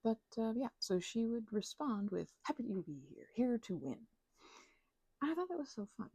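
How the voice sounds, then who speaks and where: A woman's voice: fairly high pitch, sing-song, moderate pace, mellow, breathy edge, no echo. A young woman chatting casually in an ASMR ramble, mumbling and hesitating as she drifts along, close to the microphone. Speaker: ASMR channel creator.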